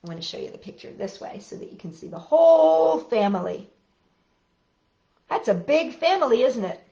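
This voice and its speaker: A woman's voice: high-pitched, very animated, moderate pace, creaky, warm tone, reverberant, muffled recording. A woman hosting a children's story time, reading aloud and chatting playfully and expressively straight to young listeners.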